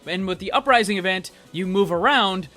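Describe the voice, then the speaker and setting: A man's voice: high-pitched, fairly quick, sharp tone. A man giving casual, conversational commentary as a video game news host, explaining his theories directly to viewers. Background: background music.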